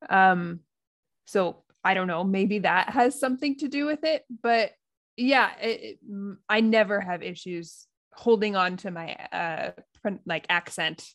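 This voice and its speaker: A woman's voice: medium pitch, measured pace. Chatty American woman, laughing as she talks. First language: English. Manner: chatty, laughs often, thinks aloud. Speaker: podcast co-host. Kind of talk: casual conversational podcast.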